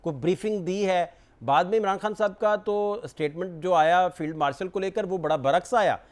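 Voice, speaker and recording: A male political talk show host with a Pakistani accent. His voice sits high for a man. He frames his questions at length and addresses his guest with courtesy. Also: moderate pace.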